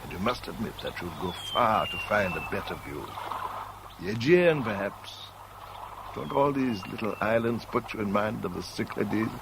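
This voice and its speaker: A worldly, calculating gentleman in a vintage radio play. The voice sits a little low, with urbane, measured phrasing and a mocking edge. There is slight noise on the old broadcast. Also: animated, creaky. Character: suave, calculating, worldly rival in love. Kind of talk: 1970s British radio drama acting.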